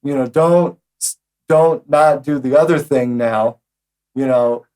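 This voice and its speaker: A male host leads a relaxed interview chat at a middling pitch. He speaks hesitantly, leaning on fillers and repeating words as he gathers his thoughts. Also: takes it slowly.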